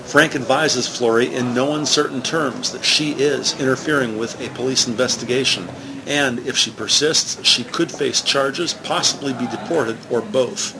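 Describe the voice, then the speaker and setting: A man reading scripted narration for a police drama in a measured, factual manner. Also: mid-range voice, background noise, natural voice.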